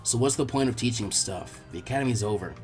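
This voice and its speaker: A man voicing a laid-back, sceptical young ninja boy with a low-key, unimpressed delivery in a story reading. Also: fast talker, faint music behind, close to an everyday voice. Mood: sceptical, bored.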